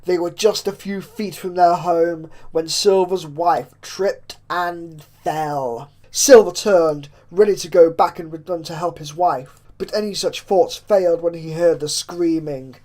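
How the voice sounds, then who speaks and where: A woman narrates a horror story in a theatrical, suspenseful way, with drawn-out phrases and hushed drops. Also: low-pitched, fairly even intonation. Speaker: fan fiction narrator. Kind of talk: dramatic horror story reading. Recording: clear background noise with ambience.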